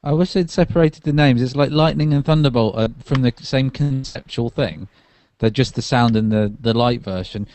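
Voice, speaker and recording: A man's voice: mid-range voice, normal speed, darkish tone, a little hiss or hum. A man in casual tech chat as a podcast co-host, hesitant and correcting himself as he thinks aloud.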